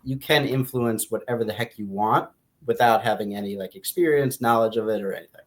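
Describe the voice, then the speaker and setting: A man co-hosting a podcast, chatting casually about a book in an informal, halting way, often rephrasing his points. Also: fairly low pitch. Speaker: podcast co-host discussing a book.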